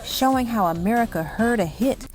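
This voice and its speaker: A woman's voice: a little high, lively intonation, normal speed, rough recording. A woman reading scripted documentary-style narration for a music countdown, in dramatic, punchy short phrases. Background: music behind the voice.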